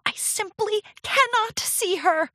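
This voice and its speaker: A woman's voice: very high-pitched, takes it slowly, pushed and bright, dry recording, clean studio sound, pitched above the performer's own voice, full character voice. A woman voicing a vain, theatrical fashionista pony for a children's storybook, with refined, affected and easily wounded airs. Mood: anguished.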